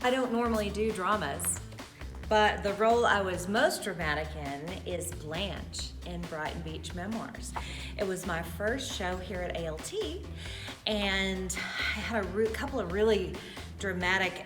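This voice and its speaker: A middle-aged woman, an actress, chatting casually and reminiscing in a theatrical, jokey manner. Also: medium pitch. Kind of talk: casual reminiscing about past stage roles.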